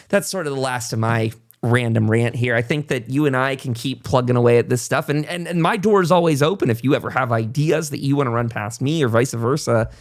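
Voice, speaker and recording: A man's voice: medium pitch, creaky. A man hosting a podcast in a casual, conversational way, informal and encouraging as he rambles along. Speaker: podcast host.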